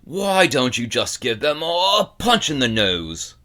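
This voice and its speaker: A man voicing a gruff, grumbling older shop owner who dislikes children, in a storybook reading. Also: medium pitch, big pitch swings, measured pace, pushed and bright, voice pushed up. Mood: brusque interrogating. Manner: growling, grumbling, brusque and scornful. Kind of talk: audiobook character acting.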